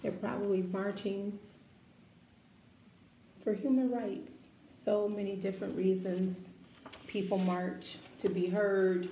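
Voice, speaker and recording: A woman reading a picture book aloud to children, expressive and deliberate, with emphatic phrasing and encouraging asides. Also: mid-range voice. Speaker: children's story-time reader. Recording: echoey room with faint background noise.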